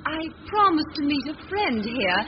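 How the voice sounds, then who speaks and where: A woman's voice: sits high, very animated, moderate pace. A cool female crook. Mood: sugary. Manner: gushing politeness, then curt orders. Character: cool female crook playing a sweet decoy. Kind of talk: 1940s radio acting. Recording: muffled hissy 1940 radio recording.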